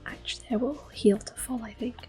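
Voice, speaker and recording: A woman giving gentle, soft-spoken ASMR gameplay commentary in a breathy, unhurried whisper. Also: a little high, soft background music. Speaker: gameplay host.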